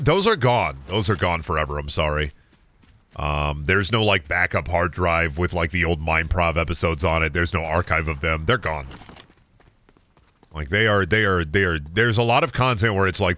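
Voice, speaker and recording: Low-voiced sick man streaming a game. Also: normal speed, bright, forceful tone. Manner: rambling, self-deprecating, swears at the game. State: sick, voice close to cracking. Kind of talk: casual gameplay stream chat. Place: home room at a desk. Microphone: headset.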